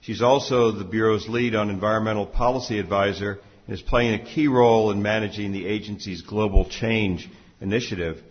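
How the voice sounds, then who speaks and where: Male panel moderator, lowish voice, formal intros, lo-fi sound. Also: steady tone, a little fast.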